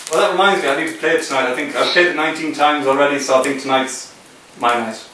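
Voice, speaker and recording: A man interviewing a poker player in a teasing, excitable way, often stumbling and restarting his sentences. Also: fairly high pitch, quick pace. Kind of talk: joking casual chat. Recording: echoey room, voice from far off, faint noise.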